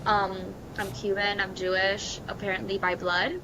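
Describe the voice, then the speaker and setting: American woman chatting over rumbling noise. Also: little pitch movement, moderate pace. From Miami, Florida. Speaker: podcast co-host. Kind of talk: casual chat.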